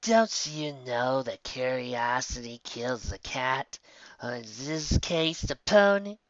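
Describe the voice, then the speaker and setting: A man's voice: medium pitch, lively intonation, slow, a bit bright, no room sound, highs cut off. A man voicing a sinister pegasus stallion henchman in a story, casual, taunting and falsely innocent. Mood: smug menace.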